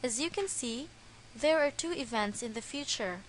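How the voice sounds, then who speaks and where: A woman narrating a scripted English grammar lesson in a slow, careful, deliberate way. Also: sits high, expressive, a little hiss or hum, poor audio.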